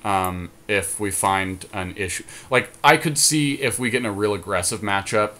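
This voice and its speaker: A man talking casually through a card game, reasoning and counting aloud in a deliberate way. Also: lowish voice, bright, forceful tone. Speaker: podcast co-host and card game player.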